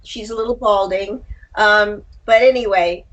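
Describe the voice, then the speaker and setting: A woman's voice: mid-range voice, measured pace, poor audio. A woman speaking as a writer being interviewed, conversational and reflective, feeling her way toward the right words. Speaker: interview guest, short story writer.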